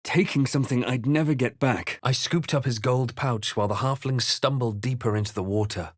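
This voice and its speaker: A man playing a grave, wary fantasy adventurer, delivering scripted game lines in a measured, dramatic way. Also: medium pitch, dry recording, clean studio sound, lightly acted. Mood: grave, haunted.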